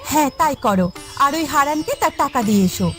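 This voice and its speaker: High-pitched practical village wife. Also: female voice, lively intonation, quick pace, a bit bright, soft background music. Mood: brisk, hopeful. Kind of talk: cartoon voice acting.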